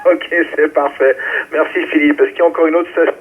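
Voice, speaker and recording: A man's voice: fairly high pitch, level tone, fairly quick, breathy edge. A man acting as amateur radio net controller, talking informally and calling stations over a radio link. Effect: ham radio transmission. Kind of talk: running a ham radio round-table, call signs. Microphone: radio transceiver microphone. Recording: muffled narrow-band amateur radio relay.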